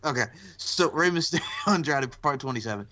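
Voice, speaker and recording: A man hosting a casual wrestling podcast, animated and laughing often. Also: fairly high pitch, fairly quick. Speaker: podcast host.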